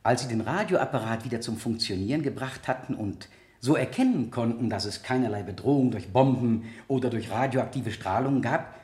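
Male examiner played by an actor, radio drama. Methodical, insistent, courteous, with animated pitch in a roomy acoustic. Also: close to an everyday voice. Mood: insistent, pressing. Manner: deliberate, insistent, formally polite questioning. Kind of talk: 1970 German radio play acting.

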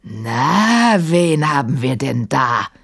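A woman's voice: a bass voice, sing-song, slow pace, creaky, husky, voice pushed down, heavily acted. A huge, cruel djinn from a children's storybook, acted out by a woman narrator. He bellows and growls with mocking menace, lording it over everyone smaller. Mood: menacing, mocking.

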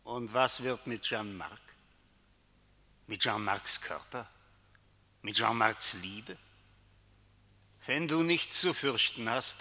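A man's voice: fairly low pitch, bright, forceful tone, heavily acted. A man acting a menacing, seductive tempter in a theatrical radio drama, taunting with insistent rhetorical questions. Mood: mocking, probing. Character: menacing, seductive male tempter who taunts his victims. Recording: long reverberant hall sound.

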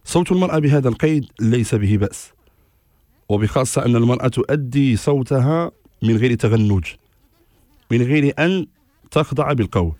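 A man as a religious scholar on a radio call-in show, giving formal rulings in a measured, authoritative way and citing scripture and scholars. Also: mid-range voice, animated, darkish tone, no echo, studio quality. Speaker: religious scholar answering questions.

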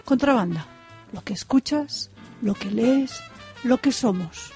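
Warm-voiced woman reading a radio promo. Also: a little high, lively intonation, unhurried, soft background music. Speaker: promo announcer.

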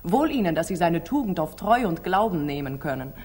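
Animated, theatrical proud royal sister. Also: female voice, fairly low pitch, fairly quick, slightly rough. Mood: scornful. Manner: theatrical, precisely declaimed. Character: shrewd, proud, devoted sister of a sultan. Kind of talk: 1940s German radio stage-classic acting.

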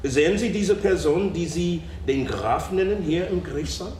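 A man's voice: fairly high pitch, lively intonation, normal speed, darkish tone. A man questioning a witness in court in a formal manner. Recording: distant echoey mic with faint noise.

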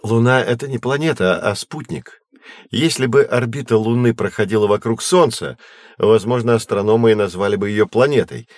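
An actor playing a patient, knowledgeable man who explains astronomy to children in a measured, reassuring way in an educational audio drama. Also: mid-range voice, dry recording, studio quality, lightly acted. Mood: explanatory, patient.

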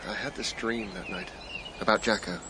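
An actor playing an earnest, guilt-ridden doctor in a radio drama. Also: male voice, lowish voice, steady tone, normal speed, background noise, lightly acted. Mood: guilty, troubled.

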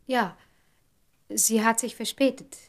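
Efficient secretary in German radio play, lightly high voice, brisk, businesslike reporting to the boss. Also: female voice, steady tone. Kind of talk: German radio drama acting.

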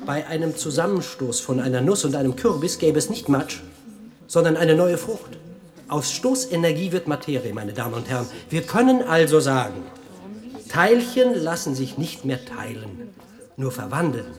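A man acting a haunted physicist in a German radio play, speaking in an introspective, halting and at times declamatory manner. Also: fairly high pitch, very animated, darkish tone. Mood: lecturing. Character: troubled male physicist, haunted and brooding, lecturing on particles.

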